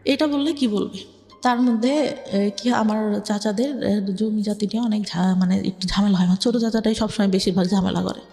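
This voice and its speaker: A young woman with a Bangladeshi Bengali accent tells her life story to an interviewer, speaking softly and hesitantly with many filler words. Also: mid-range voice, fairly even intonation, normal speed, long reverb tail, soft background music. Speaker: interview guest. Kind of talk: personal life story in conversation.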